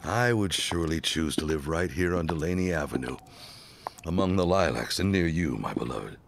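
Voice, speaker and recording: A man playing a courtly, smitten suitor in a period audio drama, speaking in florid, formal, drawn-out phrases. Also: a bass voice, expressive, creaky, background noise, heavily acted. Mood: courting.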